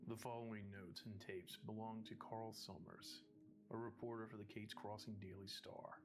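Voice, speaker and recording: A man's voice: on the low side, little pitch movement, moderate pace, music in the background, lightly acted. A man playing a somber, resolute investigator, narrating an analog horror story in a grave, earnest way.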